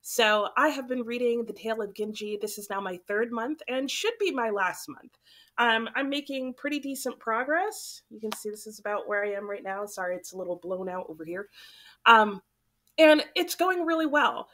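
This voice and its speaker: A woman's voice: a little high, moderate pace. A young woman hosting her book channel, chatting casually to camera while a little sick, so her voice sounds slightly off. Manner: chatty, relaxed, rambling.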